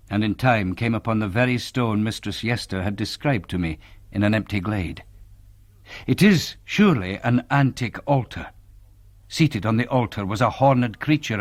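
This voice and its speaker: A man's voice: fairly low pitch, lively intonation, normal speed, no echo, close to an everyday voice. Man playing a young scottish minister telling his tale, grave n earnest, dry close sound. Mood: troubled, grave. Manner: formal, literary, grave. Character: troubled, earnest young male Scottish minister recounting events. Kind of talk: radio drama first-person narration.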